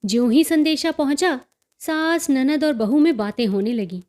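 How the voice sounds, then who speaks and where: A woman reading a literary story as an audiobook narrator, in an expressive, enunciated way. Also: sits high, normal speed.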